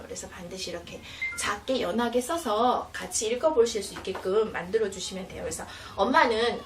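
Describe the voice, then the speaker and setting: A woman instructor giving an animated, explanatory demonstration for parents, recorded indoors at some distance from the mic. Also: medium pitch. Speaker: children's education instructor. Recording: roomy, distant mic, faint noise.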